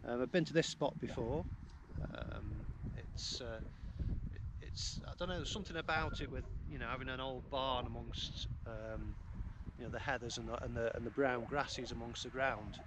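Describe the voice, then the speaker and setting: A man's voice: mid-range voice, steady tone, moderate pace, background noise. A middle-aged man talks casually as he walks and shoots photos outdoors on open moorland. He fills his talk with ums and restarts, and he speaks close to a handheld camera. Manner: hesitant, many ums and restarts. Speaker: landscape photographer vlogger. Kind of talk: casual on-location vlog talk. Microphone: camera held close to face.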